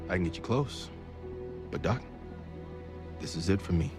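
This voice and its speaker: An actor playing a bitter, reluctant military pilot in a video game, curt and resentful. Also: male voice, deep voice, animated, moderate pace, over music. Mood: bitter, resigned. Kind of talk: cinematic video game acting.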